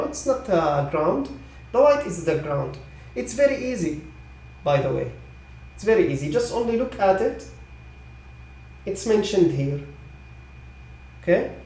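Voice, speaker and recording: A man who is a non-native English speaker giving live technical training, repeating his points reassuringly and often checking with a quick okay. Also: high-pitched, a little mellow. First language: not English. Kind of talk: live online product training. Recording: echoey room, distant microphone.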